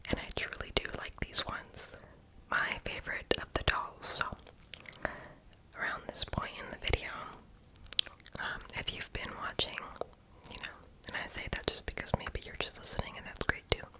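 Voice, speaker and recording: A person whispering a casual, rambling ASMR voiceover in a breathy, unvoiced voice, often stopping to correct themselves. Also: normal speed, pauses often, low-quality recording. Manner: casual, rambling, hesitant self-correcting talk. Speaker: ASMR video creator.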